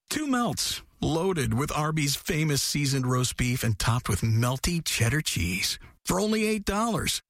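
A man's voice: fairly bright tone, breathy edge, no echo, studio quality. A man reading a scripted radio ad in a playful, upbeat way. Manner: playful, upbeat pitch swings. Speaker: ad announcer.

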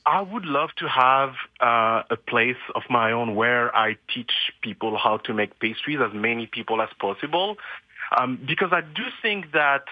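A man's voice: mid-range voice, moderate pace, no room sound. Man, dull lo-fi sound. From France. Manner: earnest, hesitant with restarts. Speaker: guest. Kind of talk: radio interview.

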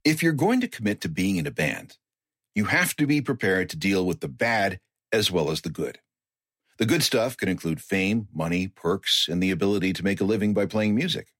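Give me a lowish, husky male radio host telling a music story with a dry close sound. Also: animated, normal speed, fairly bright tone, dry recording. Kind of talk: scripted music-history narration.

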